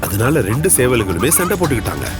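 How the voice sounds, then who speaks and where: A man narrating a children's cartoon story in an expressive, dramatic way. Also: mid-range voice, quick pace, over loud music, close to an everyday voice.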